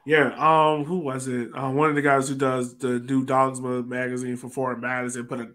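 A man's voice: mid-range voice, little pitch movement, normal speed, a bit bright. A man hosting a casual soccer discussion over a video call, moving the panel along. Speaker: soccer podcast host. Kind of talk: casual chat, moderating discussion.

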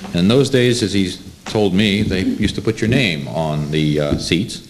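A middle-aged American man shares an anecdote from a panel table. His voice is very deep and his delivery informal. The amateur recording carries a faint noise. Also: a little slow. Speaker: panel interviewer. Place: indoor room, seated at a panel table.

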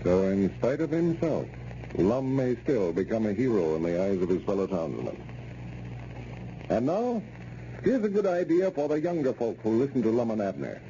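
An American man announcing in polished 1930s radio style, reading a sponsor's message with a measured sales delivery. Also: medium pitch, very animated, mellow. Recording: dull, noisy old radio recording.

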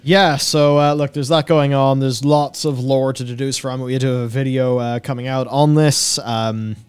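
Male gaming co-host, thirties, smooth clean voice, offhand and flippant, close studio mic. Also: mid-range voice, fairly even intonation, normal speed, soft background music. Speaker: co-host of a gaming discussion show. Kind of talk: casual lore commentary. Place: small indoor podcast studio. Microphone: close broadcast microphone on boom arm.

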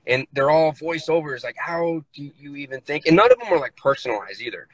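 A man's voice: fairly high pitch, fairly quick, no room sound, low-quality recording. A man in his thirties from the United States, chatting bluntly and casually over a phone video call from inside his car. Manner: relaxed, blunt, casual phrasing. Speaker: guest.

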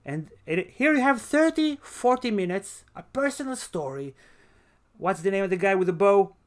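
Male podcast host, higher-pitched voice, emphatic and enthusiastic, casual film talk. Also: sing-song, normal speed. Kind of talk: casual podcast movie review.

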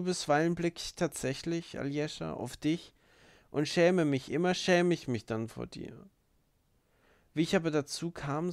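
A man plays an impulsive young woman in a storybook reading, confessional and capricious. Her speech is effusive and exclamatory, often lifted in pitch, with a close, dry sound. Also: creaky, darkish tone, no echo. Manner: exclamatory, effusive, repeats herself.

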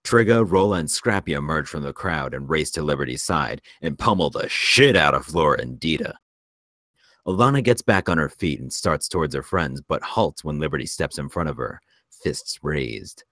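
A man narrates a screenplay in a very deep voice. He reads out scene and action directions as scripted narration. The sound is dry, with little room. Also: animated, normal speed, a bit bright, dry recording. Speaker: narrator reading stage directions.

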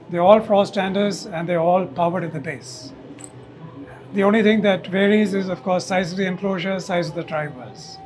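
Man, 60s, high voice, halting technical explainer in interview, music behind. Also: low-quality recording. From India. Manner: halting, explanatory, tags statements with "okay". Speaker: loudspeaker company owner and designer, interviewee. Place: hotel corridor at an audio show. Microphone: lapel mic.

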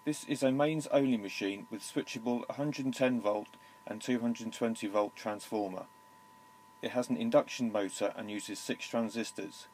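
A man reading out a test recording in a steady, deliberate way, counting and listing technical details, into a tape recorder's handheld microphone indoors. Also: fairly low pitch, a little hiss or hum.

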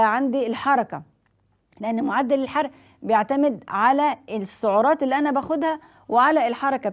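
Fast-talking Egyptian woman doctor. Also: high-pitched, a little mellow. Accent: Egyptian Arabic. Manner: rapid, explanatory, asks and answers her own questions. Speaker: nutrition doctor hosting a TV health show. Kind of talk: explaining diet advice to viewers. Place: TV studio set, indoors.

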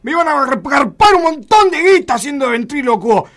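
A man's voice: very high-pitched, sing-song, a little fast, gravelly, fairly bright tone, heavily acted. An actor playing an irascible, greedy old puppet-maker with an Argentine accent in a comic radio play, a man's voice. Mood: furious.

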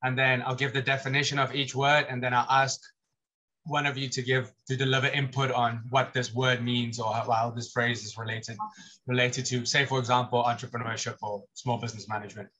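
A man's voice: mid-range voice, level tone, a little fast, sharp tone, low-quality recording. A man in his thirties, a lecturer teaching vocabulary on a video call through a headset, chatty, with many restarts. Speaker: lecturer and podcast co-host.

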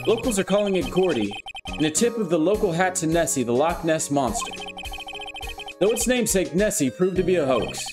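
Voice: medium pitch, expressive, fairly quick, close to an everyday voice. A reader voicing a formal TV news anchor in a game read-through, with music underneath. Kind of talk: mock news broadcast reading. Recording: background music.

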